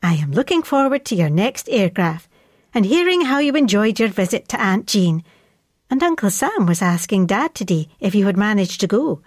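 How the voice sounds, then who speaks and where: A woman acts a proud 1940s mother reading out a letter to her son. She is homely and newsy, with a mid-pitched voice in a very dry, close recording. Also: darkish tone, no echo, natural voice.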